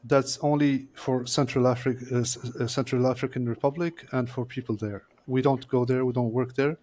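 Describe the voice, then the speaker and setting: A man's voice: mid-range voice, steady tone, a little mellow. Man explaining things step by step. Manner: measured, explaining step by step with frequent "uh" pauses. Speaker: court interpreter, guest. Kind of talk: explanatory interview answers.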